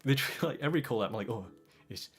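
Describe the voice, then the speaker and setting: A young man with a mixed Australian and American accent, chatting casually as a streamer reading viewer comments, relaxed and quick to laugh, over faint background music. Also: mid-range voice. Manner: relaxed, laughs often, trails off softly. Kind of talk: casual late-night chat reading comments.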